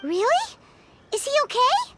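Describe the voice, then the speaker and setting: A woman voicing a tiny, chirpy female flying companion in a video game dub, talking bluntly and excitably. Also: very high voice, measured pace, heavy background noise, exaggerated voice. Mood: curious.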